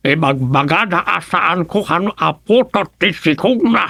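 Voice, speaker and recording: A man with an Ilocano Filipino accent playing an aggrieved, gravelly villager in a radio comedy drama. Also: fairly high pitch, expressive, normal speed, sharp tone, no echo, clean studio sound, exaggerated voice.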